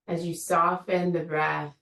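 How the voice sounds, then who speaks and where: A woman teacher leading a guided meditation in a slow, soothing, invitational way. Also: low-pitched, flat delivery, vocal fry, fairly bright tone, reverberant, far from the mic. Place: room of an online yoga studio.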